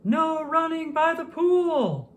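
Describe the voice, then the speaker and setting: A woman's voice: very high-pitched, sing-song, takes it slowly, a little hiss or hum, pitched above the performer's own voice, heavily acted. A woman reading a storybook, voicing a stern female lifeguard who barks pool rules. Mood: shouting. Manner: sharp barked commands.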